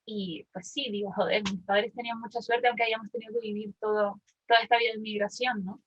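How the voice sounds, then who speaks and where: A woman's voice: on the high side, steady tone, fast talker, highs cut off, slight background noise. A woman in her twenties from Argentina, talking candidly and casually in a personal, reflective way with youthful slang. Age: 20s. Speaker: participant in a group discussion.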